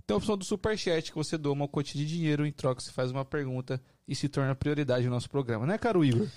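A man hosting a podcast, lively and full of catchphrases. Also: on the high side, very fast, dry recording, clean studio sound. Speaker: podcast host.

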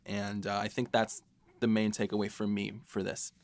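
A man's voice: fairly low pitch, completely flat delivery. A young man chatting casually and reflectively, informal, often breaking off and starting his thought again. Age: young adult. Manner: halting, self-interrupting, informal. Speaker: podcast co-host, writer.

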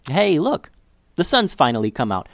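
A man voicing a kind, gently encouraging mother in a children's storybook. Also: a little high, big pitch swings, moderate pace, creaky, dry recording. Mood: bright, encouraging.

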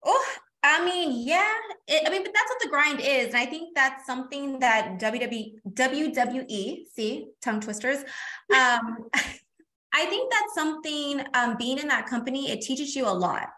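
Chatty woman guest with a very high, bright, sharp voice. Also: moderate pace. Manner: chatty and energetic, calling the host "girl". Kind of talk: casual interview chat.

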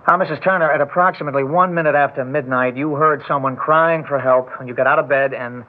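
An actor in an old-time radio drama playing a persistent, slick newspaper reporter, insistent but smoothly polite. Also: male voice, medium pitch, steady tone, quick pace, close to an everyday voice. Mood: polite, needling. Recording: tinny, muffled vintage radio recording.

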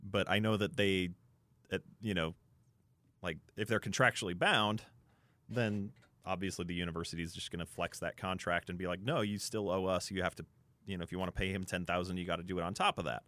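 A man's voice: deep voice, steady tone, brisk, bright, forceful tone, dry recording. A man on a sports talk panel, speaking off the cuff and reasoning aloud in a conversational way. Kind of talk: unscripted sports discussion.

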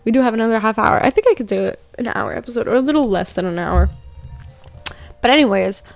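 A young woman hosting a casual spiritual chat, rambling with many fillers and slowing down to read out affirmations. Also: on the high side, vocal fry, soft background music. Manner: rambling, filler-heavy, laughs often, slows down for affirmations. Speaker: podcast host doing card readings. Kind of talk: casual spiritual chat with tarot-style readings.

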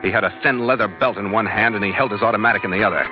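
An actor playing a big, tough ship's skipper in a terse, commanding, old-time radio adventure style. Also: male voice, on the low side, very fast, close to an everyday voice. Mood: tense, hard. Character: big, tough, hard-boiled male ship's skipper, narrating his adventures. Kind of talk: 1940s-50s radio adventure acting, clipped first-person narration. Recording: dull old radio recording, loud music behind.

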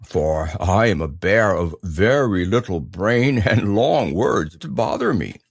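Man doing a gentle simple storybook bear, earnest, really slow drawn out words, dry close recording. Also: on the low side, expressive, vocal fry, husky, dry recording, voice pushed down, heavily acted. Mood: puzzled, humble. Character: humble, slow-witted, gentle male toy bear. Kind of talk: storybook character voice.

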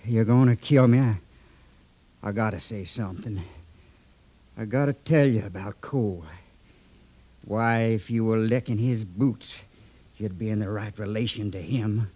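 An actor playing a loyal, earnest younger brother in an outlaw gang, plain and folksy, in a 1950s radio western. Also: male voice, lowish voice, unhurried, pauses often, dark tone. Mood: defiant.

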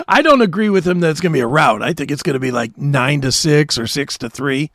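A man's voice: fairly high pitch, expressive, normal speed, a bit bright, dry recording. A middle-aged man co-hosting a talk show, bantering casually about sports in a blunt, argumentative, opinionated way.

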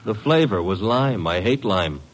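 A man's voice: on the low side, expressive, moderate pace. An actor playing a cocky, wisecracking detective's legman, wry and slangy, in an old-time radio drama. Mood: wry, breezy.

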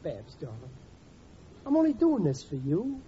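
A high-voiced, blustery radio sitcom dad. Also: male voice, wildly swooping pitch, measured pace, breathy edge, full character voice. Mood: blustery, indignant. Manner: blustery, wheedling, uneducated grammar. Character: blustery, scheming, soft-hearted working-class family father. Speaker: sitcom lead actor. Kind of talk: 1940s radio comedy acting. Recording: muffled, noisy old radio recording.

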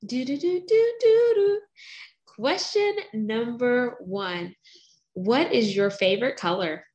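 A woman's voice: on the high side, expressive, takes it slowly, darkish tone, muffled recording. A woman hosting a podcast interview in a bubbly, playful, sing-song manner. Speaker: podcast host.

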